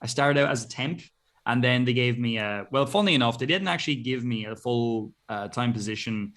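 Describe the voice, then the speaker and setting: A man's voice: medium pitch, animated, brisk, a bit bright. A man in his thirties with an Irish accent, chatting as an interview guest in an earnest, effusive way. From Ireland. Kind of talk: casual conversation.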